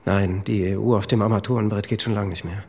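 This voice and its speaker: Anxious, stammering husband under questioning. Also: male voice, lowish voice, fairly even intonation, vocal fry, darkish tone, slightly rough. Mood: unsure. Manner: halting, stammering, defensive. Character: anxious, exhausted husband with drinking habit under interrogation. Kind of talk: naturalistic radio drama acting.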